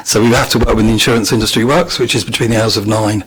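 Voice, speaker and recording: A man with a croaky voice, a local business owner, politely making his case to a council meeting. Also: fairly low pitch, fairly even intonation, fast talker, slight background noise. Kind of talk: public comment.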